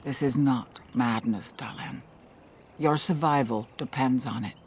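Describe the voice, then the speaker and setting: A man's voice: medium pitch, unhurried, slight background noise, natural voice. An actor, a man by voice, playing a solemn, caring elven clan leader in a fantasy game, with earnest, formal speech. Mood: solemn, urgent. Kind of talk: video game cutscene acting.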